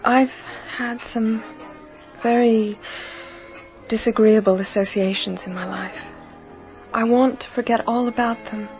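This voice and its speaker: An actress playing an earnest, gracious young bride in a radio drama, with a deliberate, heartfelt manner. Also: female voice, a little high, steady tone, a little mellow, natural voice. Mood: pained, earnest. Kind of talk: period radio drama acting. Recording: dull recording with music behind. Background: music.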